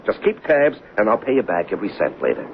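A man's voice: lowish voice, very animated, fast. An actor playing a pushy, cajoling male commuter and card player in a 1970s radio drama, coaxing and insistent. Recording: noisy, narrow-band old radio broadcast.

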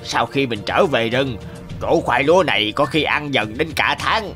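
A man's voice: medium pitch, lively intonation, normal speed, sharp tone, soft background music. A man voicing a sly, greedy tanuki in a cartoon, with a whining, exclamatory way of talking. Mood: smug, scheming. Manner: scheming, whiny, exclamatory with groans. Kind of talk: cartoon voice acting.

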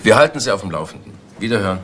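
A man's voice: fairly low pitch, very animated, racing, fairly bright tone. A man acting a matter-of-fact police detective in a German crime radio drama, speaking tersely and in a businesslike way. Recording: noisy retro radio play recording.

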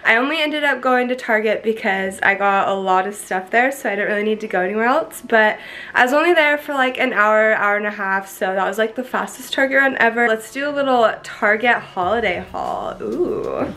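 Bubbly twenties vlogger, a bit high, stuffy from a cold, talking to camera in her apartment. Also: bright, forceful tone, a little hiss or hum. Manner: bubbly, chatty, swears casually. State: congested from a cold. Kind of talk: casual vlog chat to camera. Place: small apartment bedroom, indoors. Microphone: camera in front, close framing.

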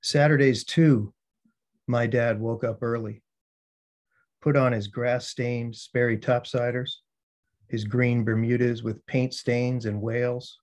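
An American man giving a poetry reading, speaking as the poet presenting his own work in deliberate phrases. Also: medium pitch, a little mellow. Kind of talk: poetry reading with spoken introductions.

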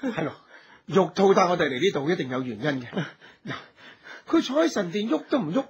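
A man playing a steady, protective heroic archer in a radio drama, husky and earnest, with courteous formal speech. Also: high-pitched, a little fast, dull audio, exaggerated voice. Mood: practical, alert. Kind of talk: Cantonese radio drama acting.

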